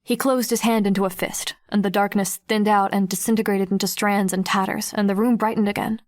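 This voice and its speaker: A woman playing a guarded, observant teenage girl who narrates an audiobook in the first person, reflective and measured. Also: mid-range voice, steady tone, natural voice. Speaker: narrator and lead character.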